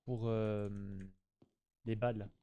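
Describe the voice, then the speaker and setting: A man's voice: lowish voice, flat delivery, slow, lo-fi sound, noisy background. A man giving casual, slangy gameplay commentary, chatting to his viewers and often repeating himself. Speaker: gaming YouTuber.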